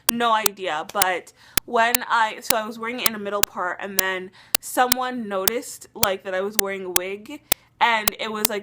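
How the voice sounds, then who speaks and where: Young woman, casual vlogger, chatty and rambling, slightly sick, mid pitch, loud background noise. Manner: chatty, many fillers and restarts. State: slightly sick, losing her voice. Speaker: beauty vlogger. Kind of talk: casual rambling hair review.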